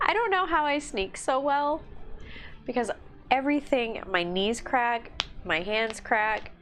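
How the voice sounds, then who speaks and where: A woman's voice: fairly high pitch, unhurried, fairly bright tone. A woman in her thirties hosting a casual livestream, chatty and self-deprecating, laughing often as she talks. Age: 30s. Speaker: livestream host. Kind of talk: casual chat while painting miniatures. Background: faint music.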